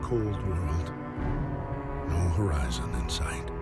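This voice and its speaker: A grave survivor at the end of the world. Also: male voice, sits low, sing-song, exaggerated voice. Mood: somber. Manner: slow, somber, measured. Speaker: game intro narrator. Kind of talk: solemn cinematic narration. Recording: game cinematic with loud music. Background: loud orchestral music.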